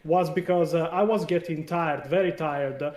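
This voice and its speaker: Man with italian accent, animated salesman-like talk, slightly high voice, roomy distant sound. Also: moderate pace. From Italy. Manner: animated, salesman-like delivery with many fillers. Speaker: guest on a live stream. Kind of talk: casual live stream discussion.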